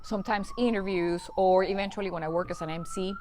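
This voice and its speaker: A woman's voice: medium pitch, quick pace, a little mellow, slight background noise. A woman whose first language is Spanish, a news anchor giving a video testimonial in careful, praising phrasing.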